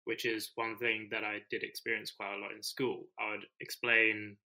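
A man from the UK co-hosting an education podcast, explaining his points in a measured, thoughtful way. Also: lowish voice, level tone, creaky, sharp tone, poor audio. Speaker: podcast co-host, teacher. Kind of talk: casual conversation.

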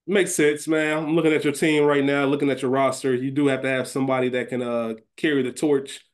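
A man's voice: medium pitch, little pitch movement, a little fast. Casual, slangy man podcasting. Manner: informal, slangy, with some swearing. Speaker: podcast co-host. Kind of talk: casual chat; fantasy draft discussion of a TV show.

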